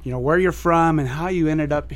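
A friendly man co-hosting a community radio arts show, his voice a touch high. He introduces his guest with easy ums and uhs and keeps the chat moving with warm little backchannels. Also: a little fast. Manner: friendly, with fillers and encouraging backchannels. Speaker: radio co-host.

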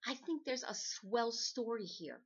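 A woman playing a brisk, pushy magazine editor in an old-time radio drama, talking in bossy slang. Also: on the high side, very animated, husky, lo-fi sound, full character voice. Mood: eager. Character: brisk, pushy magazine editor hunting a human-interest story.

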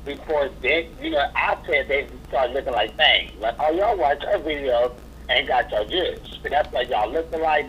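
A man's voice: mid-range voice, a bit bright, slight background noise. A man, a drag performer, talking as a guest in a casual interview, sassy and blunt in his opinions. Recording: dull lo-fi recording.

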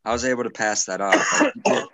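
Very deep-voiced man from Wisconsin. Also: huge pitch swings, fast talker, bright, forceful tone. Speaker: podcast co-host. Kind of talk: casual chat.

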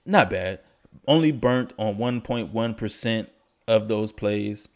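A man's voice: fairly low pitch, slow pace. A young man hosting a casual sports podcast, stuffy from allergies, talking in a laid-back, slangy way. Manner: laid-back, slangy, enthusiastic about stats. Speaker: podcast host.

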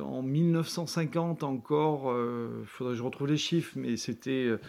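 A man from the Bordeaux area, a winemaker answering interview questions in a thoughtful, halting way with many restarts. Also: mid-range voice, mellow. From France, Bordeaux area.

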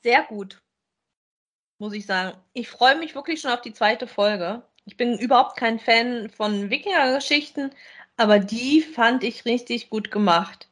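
A woman's voice: on the high side, unhurried, fairly bright tone. A woman, co-hosting a podcast and chatting casually as she gives a review. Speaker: podcast co-host.

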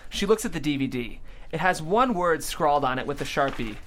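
Female narrator for screenplay directions, lively intonation, comedy table read. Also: low voice, brisk, slight background noise. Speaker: stage directions reader.

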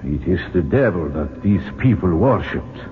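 Serious formal archaeologist in an old radio play, very up and down delivery, tinny noisy recording. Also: male voice, sits low, animated, faint music behind. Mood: solemn, awed. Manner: solemn, formal, measured. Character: grave, courteous male archaeologist wary of evil. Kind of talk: old-time radio drama acting.

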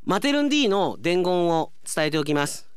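A man's voice: high voice, very animated, moderate pace, slight background noise. A man hosting a radio segment, chatting and reading out listener mail as an announcer.

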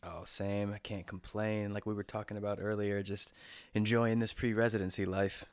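A young man from North Carolina hosting a podcast, chatting in a relaxed, joking way with his guest. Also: lowish voice, normal speed, no echo. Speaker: podcast host. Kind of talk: casual interview chat.

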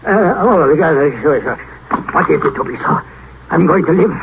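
Old radio drama, rich blustery old uncle scared of death, melodramatic, tinny hissy sound. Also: male voice, fairly high pitch, expressive, moderate pace. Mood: frantic pleading. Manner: blustering, melodramatic, stammering when agitated. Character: rich old uncle, hot-tempered and terrified of dying. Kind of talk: 1950s radio drama acting. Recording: crackly, narrow-band 1950s radio broadcast.

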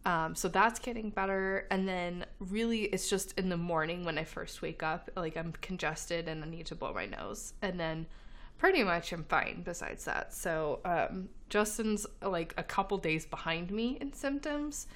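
A woman's voice: fairly low pitch, measured pace, fairly bright tone. A woman co-hosting a casual podcast chat, laughing often and talking with lots of fillers, a little congested as she recovers from being sick. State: slightly congested, recovering from illness. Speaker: podcast co-host.

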